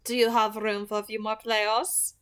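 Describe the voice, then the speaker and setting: A woman's voice: mid-range voice, level tone, normal speed, fairly bright tone, clean tone, natural voice. A woman voicing a friendly, curious female vampire pack leader in an audiobook-style reading. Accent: French.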